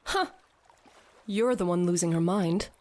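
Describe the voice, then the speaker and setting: A woman's voice: lowish voice, measured pace, darkish tone, natural voice. Grandiose, addled theatrical woman, played by an actress. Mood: haughty. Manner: grandiose mock-Shakespearean declaiming. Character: dazed theatrical woman raving as Hamlet to a fish head. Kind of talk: scripted audio drama acting.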